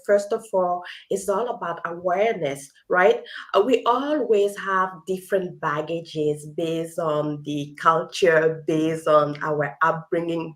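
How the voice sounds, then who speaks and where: A woman hosting an interview, asking eager questions in an effusive, admiring conversational way. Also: mid-range voice. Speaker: podcast host.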